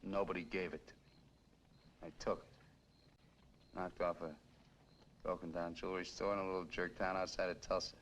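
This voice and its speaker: An actor playing a bitter, menacing armed killer in 1950s film noir style, with an American accent and tough, slangy talk. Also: male voice, deep voice, flat delivery, normal speed, long pauses, a bit bright. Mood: bitter, boastful. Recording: noisy old film soundtrack.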